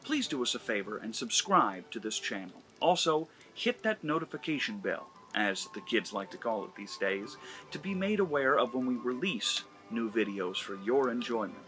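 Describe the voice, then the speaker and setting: A man's voice: medium pitch, sing-song, moderate pace, pushed and bright, soft background music, poor audio. A man hosting a comedy channel, giving an erotic story a mock-serious dramatic reading. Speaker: narrator and host.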